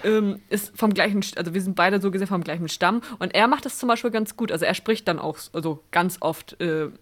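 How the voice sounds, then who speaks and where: A woman's voice: medium pitch, fairly quick. Hesitant young woman chatting. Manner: hesitant, many fillers and restarts. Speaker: podcast co-host. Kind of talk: casual chat.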